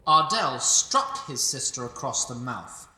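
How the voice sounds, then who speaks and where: A man giving scripted narration of a fantasy audio drama with an expressive storytelling delivery. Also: medium pitch, measured pace, echoey room, recorded from a distance.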